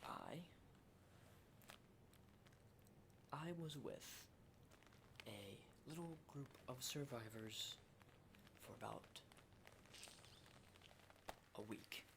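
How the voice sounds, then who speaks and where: A man playing a jittery, hardened zombie apocalypse survivor in a whispered ASMR roleplay, halting and repeating himself insistently in a breathy voice. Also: mid-range voice, animated. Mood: awkward, hesitant. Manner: halting, repetitive, insistent, often checking with "okay?". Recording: clearly noisy close whisper recording.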